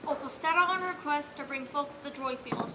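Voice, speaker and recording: A woman's voice: high-pitched, a little fast, natural voice. A female performer narrating a stage play in a scripted storybook style. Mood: storytelling. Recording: distant, noisy stage recording.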